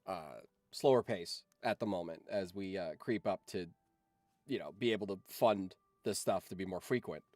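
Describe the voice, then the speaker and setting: A man's voice: low-pitched, normal speed, darkish tone, no room sound. A young man hosting a casual livestream, talking in a rambling way that often trails off, close to the mic. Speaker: streamer and host.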